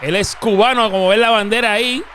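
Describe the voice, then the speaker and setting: A man hosting a show with lively emphasis, his voice pitched a little high. His greetings and plugs come out with bright energy. Soft music sits faintly under him. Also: fast, some chatter behind. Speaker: host. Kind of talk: enthusiastic show host, then relaxed interview.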